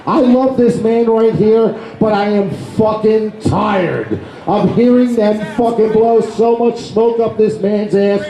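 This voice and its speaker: A man shouting and swearing to hype up a live audience as he introduces the next roaster on a convention stage. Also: high-pitched, slow pace, hardly any pauses, a little hiss or hum. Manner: shouting, profane crowd hyping. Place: convention stage before a crowd. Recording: echoey hall, crowd behind.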